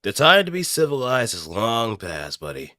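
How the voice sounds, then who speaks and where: A man's voice: mid-range voice, wildly swooping pitch, normal speed, bright, forceful tone, dry recording, clean studio sound. A man voicing a cold, threatening fighter from an anime robot game, delivering stern taunts. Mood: cold threat.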